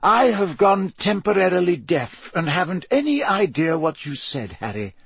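A man voicing an elderly headmaster with a dry, ironic, measured way of talking. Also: sits high, very animated, husky, dry recording, pitched above the performer's own voice, heavily acted. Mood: wry. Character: elderly male headmaster, wry and gently stern.

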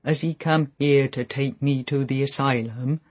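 A man's voice: mid-range voice, steady tone, lightly acted. A man doing a timid rustic man. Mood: frightened. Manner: timid, halting, plain-spoken. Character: frightened simple-minded rustic man.

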